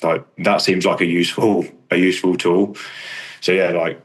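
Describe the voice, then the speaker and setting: A man's voice: flat delivery, normal speed. A man, chatting casually in a rambling, understated, self-deprecating way as a fighter and coach. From England. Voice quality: deep voice. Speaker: kickboxer and striking coach. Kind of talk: casual interview chat about own career.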